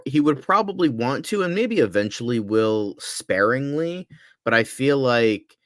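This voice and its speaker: A man co-hosting a casual podcast, giving opinions as he thinks aloud, rephrasing in a halting flow. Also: on the low side, clear, resonant tone, no room sound, clean studio sound. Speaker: podcast co-host.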